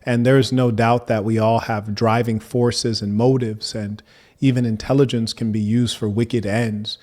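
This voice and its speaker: A man hosting a debate, speaking in a measured, formal manner and asking probing questions. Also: mid-range voice, level tone, darkish tone. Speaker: debate host.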